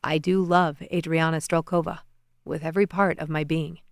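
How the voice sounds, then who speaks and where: A woman's voice: sits low, steady tone, normal speed, no room sound, clean studio sound, pitched below the performer's own voice. Woman voicing a charming young male suitor. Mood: earnest. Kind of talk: audiobook character voice.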